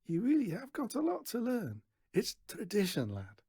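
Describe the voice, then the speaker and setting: A man's voice: high-pitched, huge pitch swings, moderate pace, mellow, breathy edge, no echo, clean studio sound, pitched above the performer's own voice, full character voice. A man voicing a folksy, patronising fairground stallholder who mentors a boy in a storybook reading. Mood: astonished. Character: brisk, exasperated older fairground stallholder mentoring a lad.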